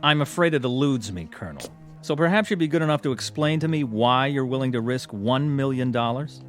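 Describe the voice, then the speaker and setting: An actor playing a proud gentleman club member in a radio drama, polite and stiffly formal, with wounded dignity. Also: medium pitch, expressive, a little fast, soft background music, natural voice. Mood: puzzled, guarded.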